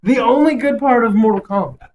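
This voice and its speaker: A man's voice: very high-pitched, brisk, rough recording. A man co-hosting a casual podcast, talking in a blunt, foul-mouthed and emphatic way as he gives his opinions. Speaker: podcast co-host. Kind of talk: casual podcast chat, ranking list.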